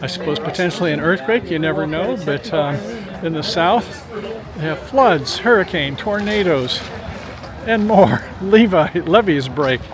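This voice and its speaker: A man's voice: fairly high pitch, animated, unhurried. A man with an American accent giving casual, rambling, unscripted commentary as a community TV reporter. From Minnesota, United States. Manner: chatty, rambling. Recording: busy crowd chatter behind. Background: crowd at an open house event.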